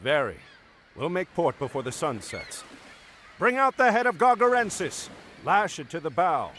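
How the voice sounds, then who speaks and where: A man's voice: on the high side, sing-song, normal speed, sharp tone, slight background noise. A man playing a resolute hero commander who speaks firmly in game cutscenes. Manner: firm, commanding. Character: commanding male hero, resolute war leader. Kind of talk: video game cutscene acting.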